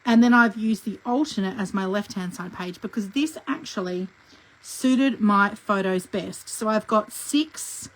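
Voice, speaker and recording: A middle-aged woman with a croaky voice from a lingering cough, teaching a live scrapbooking tutorial in a chatty way and clearing her throat often. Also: medium pitch, a bit bright, rough recording. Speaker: scrapbooking instructor.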